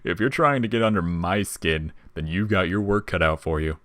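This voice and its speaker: Man voicing a low, laid-back joking skeleton. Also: normal speed, a bit bright, no echo, clean studio sound. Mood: calm, sincere. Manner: casual, wry, drawling slangy talk. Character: laid-back joking male skeleton, wry, quietly protective. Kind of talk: dramatic audio fiction character reading.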